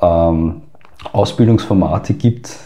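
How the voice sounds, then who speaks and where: A man's voice: low-pitched, takes it slowly, dark tone. A man with an Austrian accent, a guest in an interview, explaining at length with frequent filler sounds. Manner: hesitant, many fillers. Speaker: guest expert.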